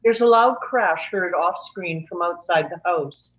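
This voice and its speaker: A woman's voice: fairly low pitch, measured pace. A woman reading scripted stage directions as the narrator of a table read, on dull lo-fi audio.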